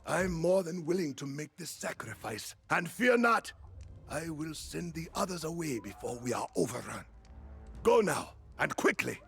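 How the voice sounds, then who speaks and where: Resolute old game commander: gravelly, emphatic, very husky, music swelling behind. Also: male voice, a little high, big pitch swings, moderate pace, a bit bright, exaggerated voice. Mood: resolute, tender. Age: older. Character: valiant old military leader, resolute and self-sacrificing. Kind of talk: dramatic video game acting.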